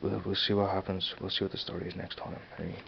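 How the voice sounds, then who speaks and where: A man in his thirties with a Dublin accent, rambling casually and halting over his words in an off-camera vlog. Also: low voice, monotone, vocal fry. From Ireland. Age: 30s. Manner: rambling, halting, many restarts. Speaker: vlogger. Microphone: camera mic, filming a screen. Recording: dull lo-fi sound with faint hiss.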